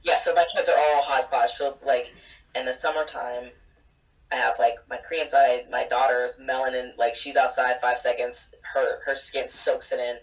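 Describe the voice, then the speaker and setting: A mother in her thirties, a guest on a casual fan chat, telling stories in a blunt, animated way. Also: very deep voice, moderate pace. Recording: distant mic.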